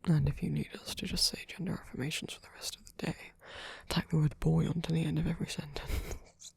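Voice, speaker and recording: Man playing a breathy, doting vampire boyfriend. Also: on the high side, flat delivery, fast talker, no room sound, whispered, full character voice. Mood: tender, reassuring. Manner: soft, breathy, tender, teasing. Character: sweet, gentle old male vampire, doting boyfriend. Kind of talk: ASMR boyfriend roleplay.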